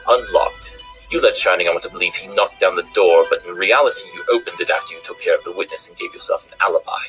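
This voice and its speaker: A man voicing a shrewd, smug cartoon pony detective with a theatrical, deliberate way of explaining things. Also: sits low, fairly bright tone, soft background music, poor audio. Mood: triumphant reasoning. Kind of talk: fan cartoon voice acting.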